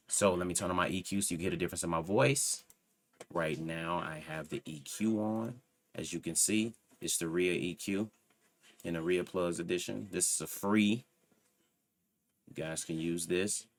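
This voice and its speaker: A man with a very deep, low voice talks casually into a desktop microphone at his home computer setup. He is self-assured and rambles, trailing off and starting over as he goes. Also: measured pace. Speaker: channel host reviewing microphones. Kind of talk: casual tech review talk. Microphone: desktop USB microphone.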